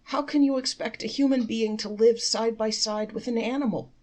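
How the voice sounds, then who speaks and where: A woman's voice: on the high side, steady tone, moderate pace, darkish tone, pitched above the performer's own voice, lightly acted. A woman voicing a poor, overwrought man in a storybook, with a dramatic, pleading manner. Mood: wailing, frantic. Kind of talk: storybook character voice.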